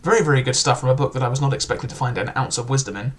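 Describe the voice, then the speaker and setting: A man's voice: mid-range voice, rapid-fire. A young man casually reviewing a book, chatty and loose, with frequent filler words and restarts.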